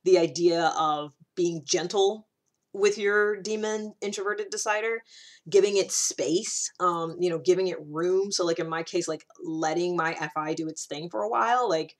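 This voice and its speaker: A woman's voice: on the low side, moderate pace. A woman giving a casual explanatory monologue, thinking aloud in a conversational way and leaning on key words. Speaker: personality typing vlogger.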